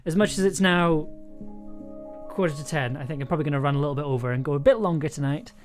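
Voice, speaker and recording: Casual livestreaming creator, mid-pitched, musing and hesitant delivery, prominent music underneath. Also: quick pace. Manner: hesitant, thinking aloud, trailing off. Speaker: streamer, audio drama producer and composer. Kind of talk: casual livestream chat while working. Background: music playing, keyboard typing.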